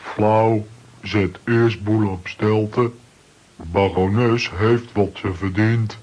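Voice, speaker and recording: Deep, blunt, simple strongman. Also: drawn-out words, slight background noise, poor audio. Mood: deadpan. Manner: clipped telegraphic speech, deadpan. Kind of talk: children's radio play acting.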